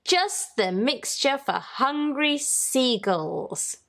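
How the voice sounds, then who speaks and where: A woman's voice: sits high, lively intonation, extremely slow, vocal fry, fairly bright tone, voice pushed up, exaggerated voice. A woman voicing a kindly, clever lighthouse keeper's wife in a storybook. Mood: sly, pleased.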